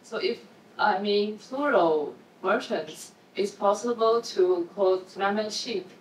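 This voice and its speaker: A woman's voice: medium pitch, slow pace. Brief: woman, Chinese first language, conference presenter, tentative questions, faint room noise. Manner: tentative, frequent fillers and short acknowledgments. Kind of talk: academic Q&A discussion. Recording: echoey room, distant mic, faint noise.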